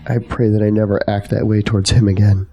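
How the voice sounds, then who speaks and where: An actor playing a devoted young adult son in an audio drama, sincere and plain-spoken, with a gravelly, husky voice. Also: male voice, on the low side, flat delivery, fast, mellow. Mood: earnest, resolved. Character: earnest, devoted young adult son, anxious for his family.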